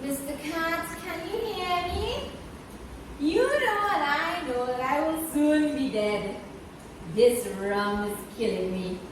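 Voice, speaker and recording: A woman as sly male rat, slurry pretend-drunk drawl, high swooping pleas, storybook character, echoey room. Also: expressive. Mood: drunken pleading. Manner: slow, drawn-out, pretend-drunk speech. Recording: echoey room, distant mic, clear background noise.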